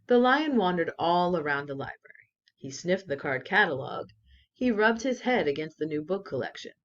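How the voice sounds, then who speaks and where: Lower-voiced woman reading aloud, very animated. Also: moderate pace, highs cut off. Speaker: children's story reader. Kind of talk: expressive read-aloud storytelling.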